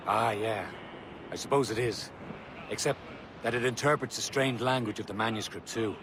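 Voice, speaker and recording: An actor playing a stern, resolute senior assassin in a video game. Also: male voice, on the low side, normal speed, background noise, close to an everyday voice. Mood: thoughtful. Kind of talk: dramatic video game acting.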